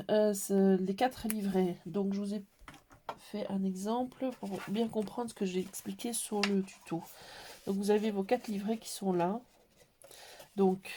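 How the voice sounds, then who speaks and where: A woman with a cold walks through a handmade album, pausing often and filling gaps with hesitant fillers. Her voice is mellow and dark in tone, and she speaks at an everyday pitch. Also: slight background noise. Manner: hesitant, many pauses and fillers. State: has a cold. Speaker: scrapbooking tutorial maker. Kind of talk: casual craft tutorial.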